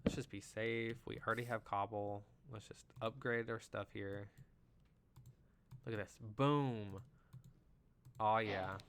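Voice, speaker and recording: A man's voice: fairly low pitch, measured pace, long pauses. Nasal, chatty man streaming a game. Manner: chatty and excitable, lots of "like" and "you know". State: just getting over an illness. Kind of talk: casual live gameplay commentary. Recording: loud background noise.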